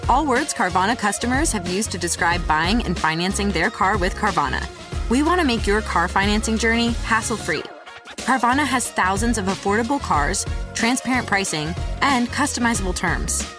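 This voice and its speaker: A woman delivers a scripted advert voice-over at an average pitch. A music bed is clearly heard under her voice. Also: lively intonation, normal speed. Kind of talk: scripted ad read.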